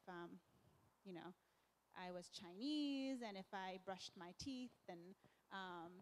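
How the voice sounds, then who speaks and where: A woman's voice: medium pitch, rough recording. Laughing woman on a discussion panel. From Japan and New Jersey. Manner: laughs often while recounting, many fillers. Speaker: panelist filmmaker.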